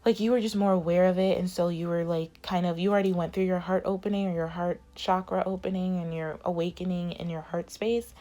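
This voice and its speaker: A woman's voice: lowish voice, fairly even intonation, fairly quick, hardly any pauses, warm tone. A woman giving a casual tarot reading, rambling with many fillers while losing her voice. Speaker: tarot reader.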